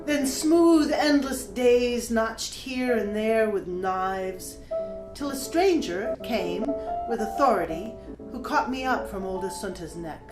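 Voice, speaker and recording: An actress as a reflective woman poet looking back on her life in an audio book drama. She recites verse slowly and deliberately. The voice rings in a roomy space, with instrumental music underneath. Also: a little high, expressive. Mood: solemn, wistful. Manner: measured, deliberate phrasing. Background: musical instrument playing.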